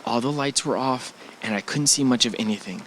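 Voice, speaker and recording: A man's voice: mid-range voice, a little fast, fairly bright tone, a little hiss or hum, natural voice, low-quality recording. A man playing a wary, reluctant partner in an audio drama, in an everyday voice. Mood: uneasy, baffled. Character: wary, reluctant male partner of an adventurous woman.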